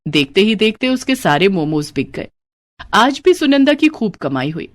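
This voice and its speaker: A woman narrating a children's cartoon moral story in steady, simple scripted storytelling. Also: mid-range voice, moderate pace.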